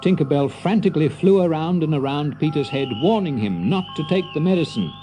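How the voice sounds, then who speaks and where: A man narrating a scripted children's story dramatically, as an expressive, confiding storyteller. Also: fairly high pitch, a little fast, music in the background.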